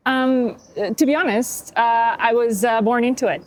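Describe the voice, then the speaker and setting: A woman's voice: fairly high pitch, fairly even intonation, moderate pace, slight background noise, poor audio. A woman in her fifties, from Hungary, a health practitioner telling her story candidly as a podcast guest, with plenty of fillers. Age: 50s. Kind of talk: conversational interview.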